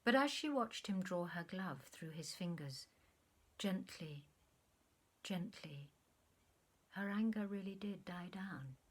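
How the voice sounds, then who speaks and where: A woman actor narrating a short story in an expressive, dramatic way, often dropping to a hushed, breathy tone. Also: fairly low pitch, slow pace, pauses often. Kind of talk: literary audiobook narration.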